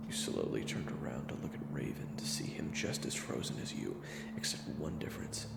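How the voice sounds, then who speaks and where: A man narrating a scripted second-person story in a hushed, suspenseful manner. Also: extremely low pitch, creaky, reverberant, big-room sound.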